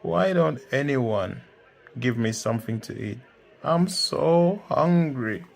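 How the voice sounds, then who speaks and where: A man's voice: fairly high pitch, steady tone, slow, darkish tone, pitched above the performer's own voice. A man voicing a hungry, impatient young fox in a children's storybook, whining and exclaiming. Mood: frustrated, whiny.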